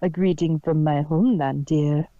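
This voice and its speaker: A man voicing a kindly, polite adult female zebra healer with a formal, measured manner. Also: on the high side, steady tone, dark tone, no echo, dull audio, pitched above the performer's own voice, lightly acted. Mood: warm, courteous.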